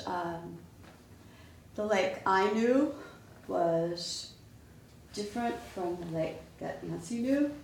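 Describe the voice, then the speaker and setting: An older woman from Illinois introducing herself as a guest to a class, talking slowly and haltingly. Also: lowish voice, creaky. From Waukegan, Illinois. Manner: slow, halting, with soft laughs. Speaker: guest, environmental campaigner. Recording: echoey classroom from far off, faint noise.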